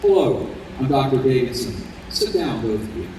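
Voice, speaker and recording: A man playing a kindly, grave doctor in his fifties in an old time radio drama, gentle and deliberate. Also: mid-range voice, sing-song, natural voice. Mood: warm recognition. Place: convention hall stage. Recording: echoey hall, distant mic, faint noise.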